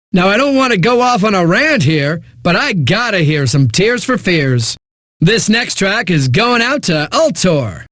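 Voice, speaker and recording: An actor playing a breezy, smug radio DJ in a video game, delivering hyped, sardonic patter between eighties songs. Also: male voice, high voice, a bit bright, no echo, studio quality, full character voice. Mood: upbeat, hyped.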